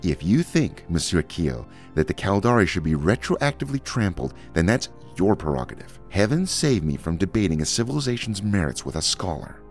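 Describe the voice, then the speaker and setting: A biting, sardonic teacher, put on by a man, holds court over his students. The voice sits very deep yet rises and falls with lively emphasis, each word laced with bitterness, as faint music plays behind. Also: brisk, few pauses. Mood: scornful. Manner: acid, scornful, lecturing.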